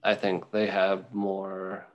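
A young man co-hosting a podcast, chatting casually about football in a rambling, halting way with many ums and restarts. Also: low voice, monotone, dull audio. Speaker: podcast co-host. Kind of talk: casual sports chat.

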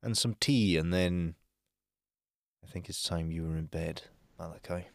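A protective elder prince played by a man from a fantasy audio drama. His voice is very deep and solemn, with a gentle, reassuring manner. The recording is dry, free of room echo. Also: unhurried, creaky. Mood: tender, reassuring.

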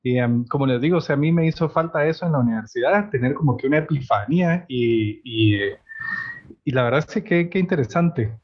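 A man co-hosting a casual panel chat, talking haltingly and repeating words. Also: mid-range voice, slightly rough, lo-fi sound. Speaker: co-host.

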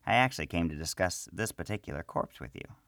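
A man reading a gentle wizard, deep voice. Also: expressive, quick pace, creaky, no room sound, clean studio sound, heavily acted. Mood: polite. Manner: gentle, polite. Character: gentle male wizard, uneasy and polite.

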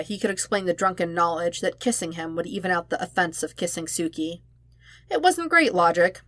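A woman narrates a piece of fiction in a fairly low voice. Her reading is expressive, with dramatic emphasis in the phrasing. The sound is dry, with very little room around it. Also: no room sound. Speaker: podfic narrator. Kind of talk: expressive audiobook-style fan fiction narration.

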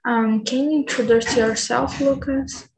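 A woman's voice: a little high, steady tone, unhurried, background noise. A nineteen-year-old woman from Brazil with a Brazilian accent, hosting an English conversation group over a video call, hesitant with many ums. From Bahia, Brazil. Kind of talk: casual online English practice chat.

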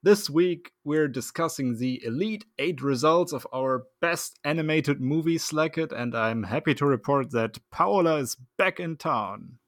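A man hosting a casual podcast, relaxed and laughing often, with loose, filler-heavy phrasing. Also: a little high, a little slow, few pauses, no room sound, clean studio sound. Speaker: podcast host.

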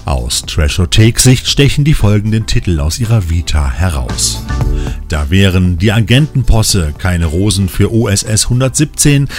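A man's voice: on the low side, lively intonation, moderate pace. A man hosting a magazine show, reading scripted narration. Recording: music bed behind the voice. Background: music.

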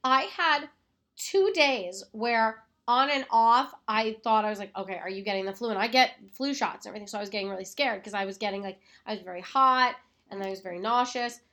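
Hoarse woman chatting with a cold. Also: on the high side, fairly quick, sharp tone. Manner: chatty, lots of "like" fillers. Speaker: podcast co-host. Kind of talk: casual chat.

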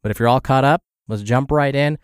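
A man hosting a podcast, reading in a measured, explanatory narration with a slightly congested, scratchy voice from a cold. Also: mid-range voice, clear, resonant tone, no room sound, studio quality. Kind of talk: scripted podcast narration, reading an article aloud.

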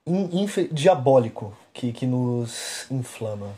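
Male podcast co-host, mellow dark tone, measured and thoughtful. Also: mid-range voice, expressive. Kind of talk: casual chat.

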